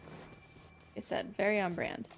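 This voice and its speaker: A woman giving casual, gushing live commentary on a game she is playing, with an expressive, slangy way of talking. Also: mid-range voice, takes it slowly, creaky, soft background music. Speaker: game streamer.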